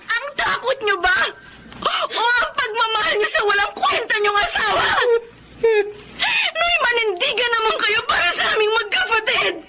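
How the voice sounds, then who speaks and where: An actress with a Filipino accent playing a desperate, defiant young daughter, tearful and insistent, in a radio melodrama. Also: female voice, very high voice, expressive, normal speed, bright, forceful tone, raised voice, a little hiss or hum, exaggerated voice. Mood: tearful anger.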